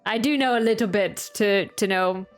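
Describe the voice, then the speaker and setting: Woman game streamer, medium pitch. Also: normal speed, clean tone. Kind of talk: casual playthrough commentary and reading game text aloud. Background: faint game music.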